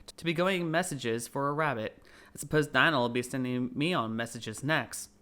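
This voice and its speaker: A man's voice: medium pitch, moderate pace, sharp tone, close to an everyday voice. A man voicing a curious, polite, worried little girl in an audiobook, musing earnestly to herself. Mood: musing, surprised. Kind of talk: audiobook character voice.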